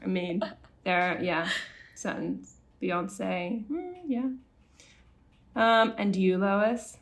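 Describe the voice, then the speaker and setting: A woman's voice: mid-range voice, extremely slow. A woman in casual podcast chat, rambling and going off on tangents. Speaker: podcast co-host.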